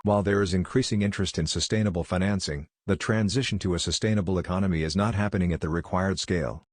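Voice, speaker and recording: Deep-voiced man reading quickly. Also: steady tone, slight background noise. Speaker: read-aloud exam practice reader. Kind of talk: scripted read-aloud of short passages.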